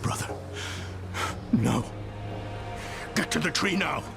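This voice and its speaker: An earnest older scholar in a dark fantasy game. His low, creaky voice is deliberate yet very expressive. A heavy score sits beneath it. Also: music in the background, heavily acted. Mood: alarmed. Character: earnest, troubled older male Horadrim scholar. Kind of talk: cinematic fantasy game acting.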